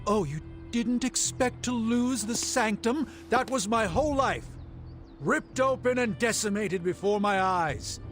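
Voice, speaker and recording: Proud weary male sorcerer, highish pitch, swooping, theatrical, game drama, music behind. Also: expressive, measured pace, fairly bright tone. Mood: bitter. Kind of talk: dramatic video game acting.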